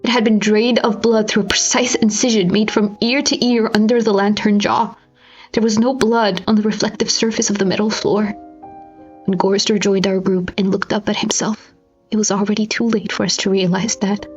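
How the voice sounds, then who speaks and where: A female narrator reads in a grave, theatrical storytelling style. Her phrasing is deliberate and dramatic, with a mid-range pitch. The recording is slightly dull, and music is clearly audible behind her. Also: level tone.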